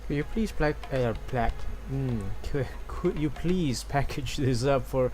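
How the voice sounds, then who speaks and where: A man's voice: mid-range voice, a little slow, a little mellow. A man giving casual, hesitant gameplay commentary, thinking aloud. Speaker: game streamer. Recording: game music behind voice.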